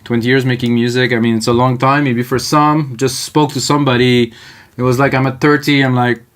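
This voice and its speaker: A man's voice: medium pitch, flat delivery, fairly quick, a bit bright. A man in his thirties with a French Canadian accent, French his first language, teaching music production live in a loose, thinking-aloud way. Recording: electronic music playing under the voice. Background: faint electronic music.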